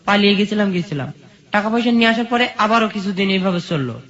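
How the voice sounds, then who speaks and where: A man's voice: sits high, expressive, fast, a bit bright. A man with a Bangladeshi accent telling his own life story as an interview guest. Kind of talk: personal life-story narration. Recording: dull lo-fi sound.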